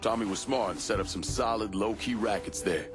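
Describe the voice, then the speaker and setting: A man acting a calm, commanding crime boss in a game, terse and controlled. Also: fairly low pitch, brisk, over music, lightly acted. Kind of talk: video game cutscene acting.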